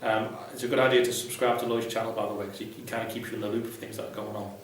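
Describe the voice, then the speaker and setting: A man's voice: fairly low pitch, fairly even intonation, very fast. A man giving casual, unscripted advice to viewers in a blunt, irreverent, sweary way. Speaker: vlogger, ex-Jehovah's Witness advice host. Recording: very echoey room, distant mic, faint noise.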